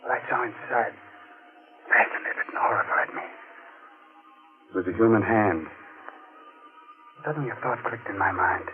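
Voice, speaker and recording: An actor playing a grave, haunted male sleuth in a 1940s radio mystery, with deliberate, suspenseful delivery. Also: lowish voice, music in the background, natural voice. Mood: hushed suspense. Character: grave, haunted male amateur sleuth telling his own story. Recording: noisy, tinny 1940s radio recording.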